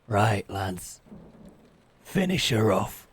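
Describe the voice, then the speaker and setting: A man's voice: lowish voice, sing-song, drawn-out words, slight background noise, heavily acted. A man playing a crude, menacing leader of medieval hired killers, sneering and boastful in a fantasy audio drama. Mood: sneering, smug. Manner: sneering, boastful, rough colloquial talk.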